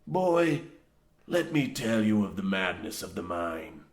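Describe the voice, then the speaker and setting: An actor playing a grizzled, half-mad old coal miner, boastful and ominous, rambling theatrically in rustic archaic phrasing in a comic old-time radio horror play. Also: male voice, sits low, sing-song, a little slow, creaky, heavily acted. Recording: roomy, echoing sound.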